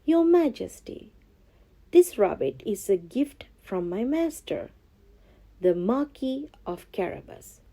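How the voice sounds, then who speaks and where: A woman's voice: on the high side, very animated, slow pace, dark tone, pitched above the performer's own voice, exaggerated voice. A woman voicing a clever, bold male cat from a storybook, courteous and coaxing in a theatrical way. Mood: courtly.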